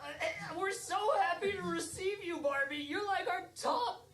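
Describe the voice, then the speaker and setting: A woman's voice: very high-pitched, unhurried, far from the mic, background noise, pitched above the performer's own voice. A woman voicing a whiny, fawning male company boss who stammers apologetically in an improvised stage parody. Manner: stammering, plaintive, says "man" often. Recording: echoey live stage with audience.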